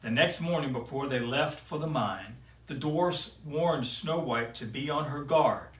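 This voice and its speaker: Man reading a kids' storybook, very slow, gentle, roomy room sound, mic set back. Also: medium pitch, far from the mic. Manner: slow, gentle read-aloud. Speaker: storyteller for kids. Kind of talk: reading a picture book aloud.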